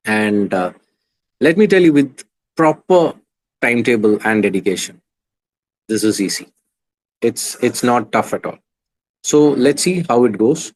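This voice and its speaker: A man's voice: medium pitch, lively intonation, a little slow, long pauses. A man in his thirties with an Indian English accent, coaching students in an online class, earnest and hesitant with many restarts. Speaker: exam coach.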